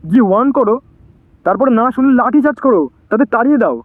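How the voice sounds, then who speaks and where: A male actor plays a brusque police chief in an audio drama. His high voice scolds impatiently in quick bursts through a tinny phone line. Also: lively intonation, no room sound. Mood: irritated. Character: impatient, busy male police officer in charge. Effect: telephone filter. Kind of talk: Bengali audio drama acting. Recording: thin phone-call sound.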